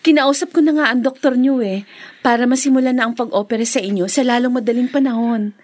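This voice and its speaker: A woman's voice: a little high, fairly even intonation, quick pace, a little mellow, natural voice. An actress playing a hardened but devoted young woman in a Filipino radio drama, earnest and melodramatic. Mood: reassuring. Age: young adult.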